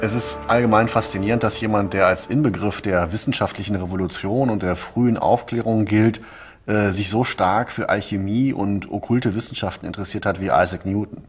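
Low-voiced man speaking slowly as expert. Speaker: interviewed historian. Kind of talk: spoken interview explanation.

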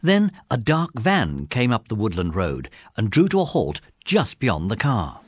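A man's voice: on the low side, wildly swooping pitch, unhurried, breathy edge, heavily acted. A man with an English accent narrating a children's adventure audiobook as a scripted story.

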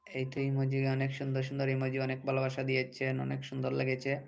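A man's voice: medium pitch, completely flat delivery, fast talker, creaky, slight background noise. A man hosting a casual livestream, speaking directly to commenters and often repeating his phrases. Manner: repetitive, addressing commenters directly. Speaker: livestream host. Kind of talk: casual livestream chat with viewers.

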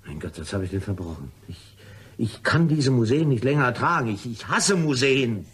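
An actor playing a harried, irritable writer in a German radio crime drama, husky and exasperated, curt and prone to outbursts. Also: male voice, mid-range voice, big pitch swings, normal speed. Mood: despairing resignation. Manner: exasperated, curt, swinging between outbursts and resignation. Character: harried, irritable male writer being blackmailed.

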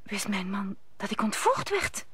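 A woman's voice: medium pitch, sing-song, a little slow, fairly bright tone, husky, no echo, heavily acted. A woman playing an anxious young wife of about twenty-five in a radio play.

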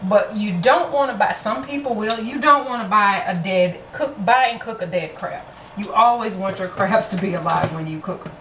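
A woman's voice: mid-range voice, normal speed, background noise. A woman from Florida chatting casually through a home cooking vlog, self-deprecating and full of fillers, recorded on a camera in her kitchen. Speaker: vlogger.

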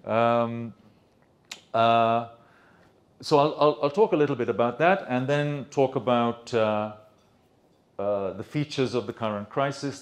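A man in his fifties with a British accent gives a relaxed conference lecture in a room with a projector screen. His voice sits fairly low, and he speaks into a handheld microphone. He hesitates often, filling pauses with ums and restarting sentences. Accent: British English. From Sri Lanka. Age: 50s.

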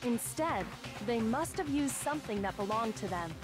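A woman's voice: on the high side, animated, moderate pace, lightly acted. A woman playing a composed, matter-of-fact teenage girl detective in an anime video game, firm and cool. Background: game music.

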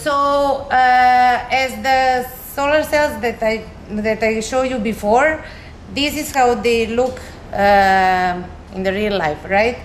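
A woman's voice: on the high side, steady tone, slow, music in the background. A young woman from Serbia with a Serbian accent gives an informal, explanatory science presentation, speaking a little hesitantly and simply as a researcher. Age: young adult. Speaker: chemistry researcher, recent graduate. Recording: echoey room with faint noise.

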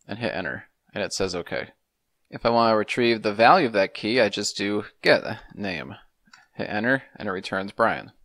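Man, thirties, lowish pitch, methodical semi-casual tutorial delivery, very dry indoor recording. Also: a bit bright, dry recording. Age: 30s. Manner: methodical, step-by-step instruction. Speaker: programming tutorial host.

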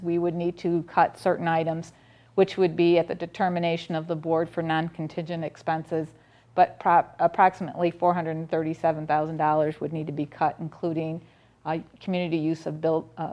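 A woman's voice: on the low side, flat delivery, brisk, dark tone. Woman presenting a school budget in a meeting room. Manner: methodical, reads figures with frequent ums and restarts. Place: board meeting room, standing by a projector screen. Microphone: far camera, room audio.

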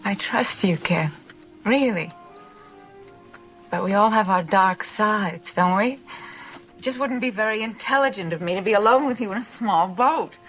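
An actress playing a flirtatious but wary small-town widow in an audio drama. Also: female voice, mid-range voice, measured pace, pauses often, a bit bright, soft background music, lightly acted, low-quality recording.

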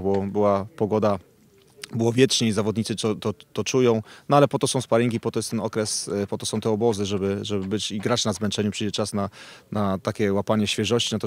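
A man in his thirties from Poland, a football coach giving a thoughtful, halting post-match interview outdoors at night into a handheld mic. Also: lowish voice, no echo. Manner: thoughtful, halting, with many restarts and fillers. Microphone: handheld reporter mic.

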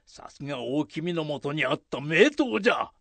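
An actor playing a proud, commanding samurai lord in a radio drama, speaking in emphatic archaic lordly style. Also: male voice, a little high, big pitch swings, moderate pace, vocal fry, a bit bright, dry recording, heavily acted. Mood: satisfied, magnanimous.

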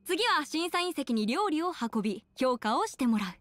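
A woman voicing a brisk, formal young contest announcer with theatrical commentary in a Japanese game. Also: high-pitched, big pitch swings, fairly bright tone, soft background music. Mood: official.

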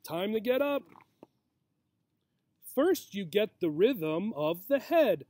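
A man reads a picture book to young children at a very unhurried pace. His voice sits fairly high for a man, and he talks simply and encouragingly. He is recorded outdoors at arm's length, with no echo at all. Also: big pitch swings, darkish tone, clean studio sound. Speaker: teacher reading a picture book aloud. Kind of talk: children's read-aloud. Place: outdoors in a grassy yard. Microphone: camera or laptop mic at about arm's length.

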